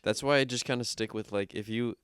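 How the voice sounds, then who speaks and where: A man's voice: medium pitch, fairly even intonation, brisk, a bit bright, clear, resonant tone, no room sound. A man around twenty co-hosting a podcast in casual chat, with a nasal voice, teasing and laughing. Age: 20s. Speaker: podcast co-host.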